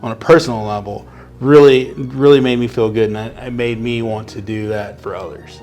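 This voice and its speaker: A man's voice: mid-range voice, fairly even intonation, normal speed, a little mellow, faint music behind. A man from Houston, Texas, working as a substitute teacher, giving earnest, reflective interview answers.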